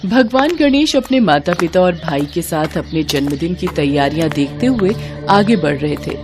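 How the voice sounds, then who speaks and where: A woman narrating a devotional children's story in a slow, even storytelling manner. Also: on the low side. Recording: music playing behind.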